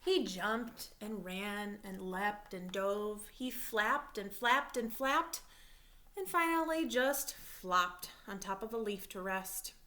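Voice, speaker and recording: A woman reading a picture book aloud like a teacher, pausing to explain and ask questions of the listeners. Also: medium pitch, pushed and bright. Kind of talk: expressive storybook read-aloud with teaching asides.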